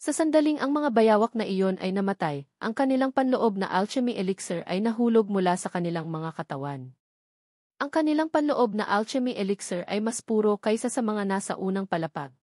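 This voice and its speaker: A woman narrates fiction from a script in a formal manner. She delivers it in measured, separate phrases at a middling pitch. The sound is very dry, with next to no room on it. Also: lively intonation, warm tone, dry recording. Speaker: audiobook narrator.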